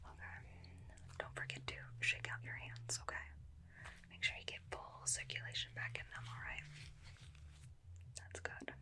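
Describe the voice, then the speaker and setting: A woman playing a bossy, teasing, protective vampire slayer in an ASMR roleplay, whispering intimately into a close binaural mic with a breathy, creaky edge. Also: natural voice. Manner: intimate, slow questioning, dryly teasing. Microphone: close binaural microphone.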